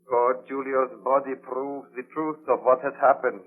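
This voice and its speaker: An actor playing a stern, reproachful gentleman friend of a scientist, speaking gravely and formally in 1930s radio drama style. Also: medium pitch, level tone, measured pace, close to an everyday voice. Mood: reproachful, alarmed. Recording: muffled old 1930s radio broadcast.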